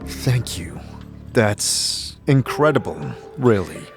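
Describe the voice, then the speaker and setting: A man's voice: medium pitch, big pitch swings, slow pace. A man playing an earnest, curious wanderer in a fantasy audio drama, speaking directly and asking questions. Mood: earnest, reflective. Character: earnest male wanderer, curious seeker of knowledge. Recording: music behind the voice.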